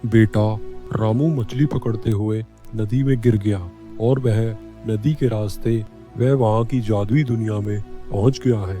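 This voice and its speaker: A man voicing a kindly, solemn old wandering holy man in an animated moral story. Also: lowish voice, measured pace, faint music behind, natural voice. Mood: grave.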